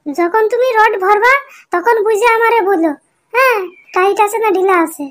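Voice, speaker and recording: Clingy, high-voiced flirty sister-in-law Boishakhi. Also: male voice, normal speed, a bit bright. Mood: insistent, suggestive. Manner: coaxing, insistent, wheedling. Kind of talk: comic cartoon dialogue.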